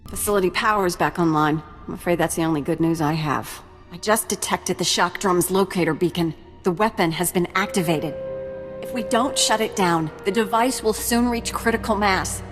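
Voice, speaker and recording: A woman's voice: on the low side, moderate pace, lightly acted. Woman playing an earnest facility researcher, reverberant. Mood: worried. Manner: earnest, explanatory. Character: earnest female research facility survivor, grateful, worried. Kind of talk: video game cutscene acting. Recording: long hall reverb, music behind.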